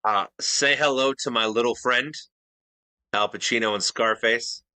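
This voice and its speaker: Male co-host, bright tense tone, rambling defensive chat, dry recording. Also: medium pitch, unhurried, dry recording, poor audio. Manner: defensive, rambling, many restarts. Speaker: podcast co-host. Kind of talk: casual debate chat.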